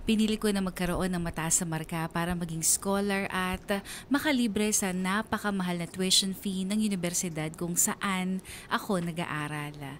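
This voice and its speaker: An actress playing an earnest Filipina daughter, narrating her life story in a sentimental, measured radio-drama style. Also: on the low side, slight background noise, close to an everyday voice. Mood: earnest, wistful. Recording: music behind the voice.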